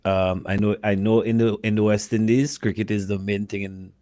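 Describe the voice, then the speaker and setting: Low-voiced male podcast host, dry sound. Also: fairly even intonation, dark tone, no room sound. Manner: encouraging, quick affirmations. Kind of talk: casual interview chat.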